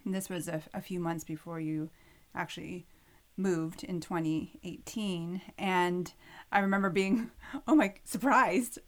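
A woman's voice: lowish voice, steady tone, a little slow. A woman hosting a podcast, delivering narration and interview questions.

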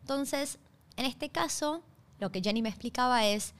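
Argentine woman explaining, on the higher-pitched side. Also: normal speed, fairly bright tone. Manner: explanatory, often checks in with listeners. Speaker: podcast host. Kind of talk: conversational podcast monologue.

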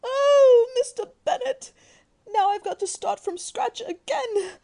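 Shrill, wailing, melodramatic mother. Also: slow, warm tone, a little hiss or hum, full character voice. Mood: disappointed, lamenting. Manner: wailing, overwrought, theatrical. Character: melodramatic, self-pitying middle-aged mother of five daughters. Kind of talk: radio drama acting.

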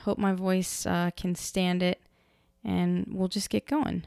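A woman hosting a casual book podcast, chatty and rambling, her voice hoarse from being sick. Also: fairly low pitch, level tone, takes it slowly, a little mellow, dry recording, clean studio sound. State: hoarse from illness. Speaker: podcast host.

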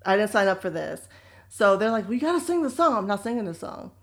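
Animated woman telling a story. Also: medium pitch, brisk. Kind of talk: casual storytelling chat.

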